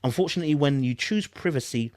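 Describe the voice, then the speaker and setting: A man's voice: on the high side, lively intonation, fast, a little mellow, dry recording. A man with a London accent hosting casual online commentary, reading aloud and reacting in informal, slangy speech with emphatic repetition. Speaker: YouTube commentary host.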